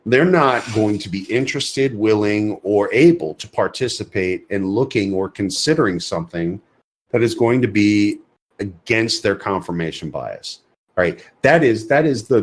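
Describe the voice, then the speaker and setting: A man co-hosting a podcast in casual chat, speaking bluntly and emphatically, often repeating words as he builds a point. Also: on the low side. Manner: emphatic, repetitive, blunt opinions. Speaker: podcast co-host.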